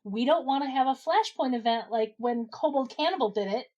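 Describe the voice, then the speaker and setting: A sensible gnome, played by a woman, takes charge in a high, very lively voice. The delivery suits an amateur comic fantasy audio play. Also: brisk, muffled recording. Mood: firm.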